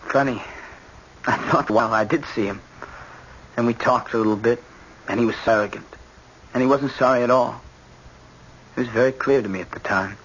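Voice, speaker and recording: An actor playing a troubled, remorseful young son in a radio drama, speaking haltingly and questioning himself. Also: male voice, medium pitch, a bit bright, close to an everyday voice. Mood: remorseful, bewildered. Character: troubled, remorseful son recovering after a breakdown. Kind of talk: 1970s radio drama acting. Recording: muffled vintage radio recording, faint hiss.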